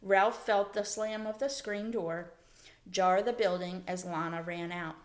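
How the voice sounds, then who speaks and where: A woman reading a children's story aloud as a teacher, in expressive narration. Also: on the low side, a little slow.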